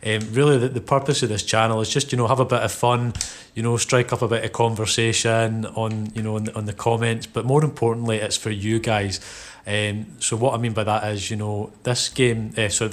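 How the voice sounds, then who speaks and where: A Scottish man in his thirties hosting his gaming channel, talking loosely and easily with plenty of fillers as he plays. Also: lowish voice. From Scotland. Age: 30s. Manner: rambling, lots of "you know" and "um" fillers; laid-back, easygoing. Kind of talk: casual gameplay commentary.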